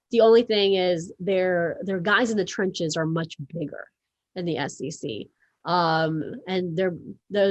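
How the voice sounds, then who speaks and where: A woman's voice: fairly low pitch, dry recording. A woman in her thirties whose first language is Portuguese, talking through sports coverage as a guest with many fillers and restarts. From Miami, Florida. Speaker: sports publisher, podcast guest. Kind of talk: casual interview chat.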